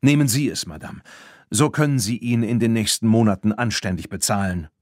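A man voicing a smooth French horse dealer in an audiobook, courteous and ceremonious. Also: lowish voice, brisk, no echo, clean studio sound, close to an everyday voice. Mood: solemn, courteous. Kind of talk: audiobook character reading.

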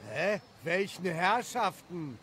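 A man's voice: high voice, animated, slow pace, a bit bright, slight background noise, heavily acted. A man playing a contrary, dismissive village fisherman in an audio drama.

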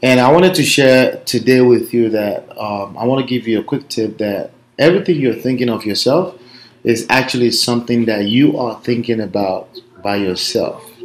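A man in his thirties with a strong Nigerian accent, talking energetically to a laptop webcam at home as a motivational business coach. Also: medium pitch. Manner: energetic, emphatic, conversational with frequent tag questions. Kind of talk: motivational vlog talk. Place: bedroom or small room at home, indoors. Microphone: laptop webcam mic. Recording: roomy echo of a small room.

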